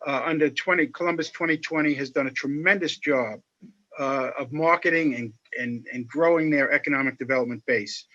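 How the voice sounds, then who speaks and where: A man speaking as a panelist on a video call, explaining at a measured pace with many fillers. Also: medium pitch, sharp tone. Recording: muffled video call audio.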